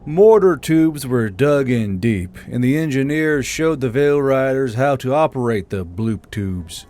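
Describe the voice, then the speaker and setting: A man's voice: medium pitch, animated, unhurried, darkish tone. A man who hosts a story channel, speaking as the narrator of fantasy audiobook tales.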